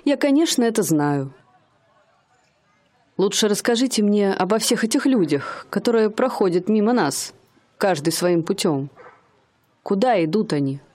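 A woman's voice: medium pitch, animated, measured pace, pauses often, a little mellow. An actress playing a young woman who poses as a bored rich lady in a radio play, speaking in a haughty, mannered way. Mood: curious. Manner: haughty, mannered, languid speech.

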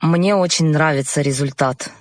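A woman's voice: deep voice, moderate pace. A woman director giving an informal spoken account of her own work. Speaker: audio play director and sound editor.

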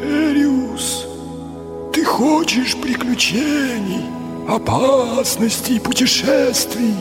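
An actor playing a solemn old wizard in a radio play, speaking in a portentous, drawn-out way. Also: male voice, extremely high pitch, exaggerated voice. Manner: slow, drawn-out, portentous delivery. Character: solemn old male wizard sending a boy hero questing. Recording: long cavernous reverb, music underneath.

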